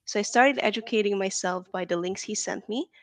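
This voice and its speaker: Woman with a gravelly voice. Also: medium pitch, fairly quick, no echo. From Hungary. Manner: chatty, frequent "like" and "um". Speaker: interview guest. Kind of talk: casual interview chat.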